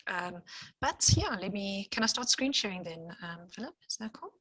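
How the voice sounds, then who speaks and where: Quick-talking woman doctor, dry sound. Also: mid-range voice, lively intonation, fairly bright tone, no echo. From Johannesburg, South Africa. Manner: quick, clear explanation with fillers. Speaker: medical doctor and researcher giving a presentation. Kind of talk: informative presentation with screen sharing.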